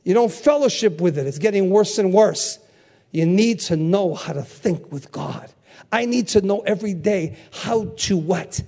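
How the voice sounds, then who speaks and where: An older male preacher with a very high voice delivers a sermon. He repeats key phrases for emphasis and throws out questions for the congregation to answer back. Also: expressive, normal speed, warm tone. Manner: call-and-response, emphatic repetition.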